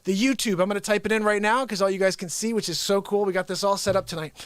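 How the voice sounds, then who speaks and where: A man from the United States hosting an unscripted live stream, rambling excitedly, chuckling and greeting viewers by name. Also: high voice, fast talker, dry recording. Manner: hypes the show with catchphrases. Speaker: livestream show host.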